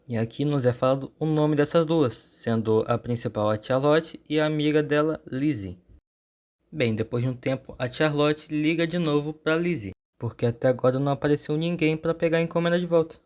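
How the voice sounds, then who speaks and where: A man's voice: a little high, darkish tone. A man with a Brazilian accent telling a story as a channel host, in an informal scripted narration.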